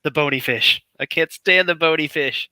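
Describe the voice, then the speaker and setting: A man from Tennessee, chatting on a video call in an animated, complaining way. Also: a little high, clean tone, no echo, poor audio. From Tennessee, US South. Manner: animated, complaining, quick with laughs. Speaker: guest on a live chat. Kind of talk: casual group chat.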